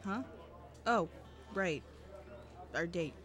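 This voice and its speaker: A woman's voice: fairly low pitch, animated, drawn-out words, soft background music. A woman acting as an anxious, guarded teenage student in a fiction podcast, awkward and dryly evasive. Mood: flustered. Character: anxious teenage student girl who records cassettes, guarded. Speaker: voice actor.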